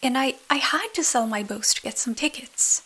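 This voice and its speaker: Storybook puppet boy, performed by a woman: high, husky, earnest, excitable, trips over his words. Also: normal speed, heavily acted. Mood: sheepish. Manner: hesitant, stammering, earnest. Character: naive, excitable little wooden puppet boy, pleading. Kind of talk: bedtime storybook character voice.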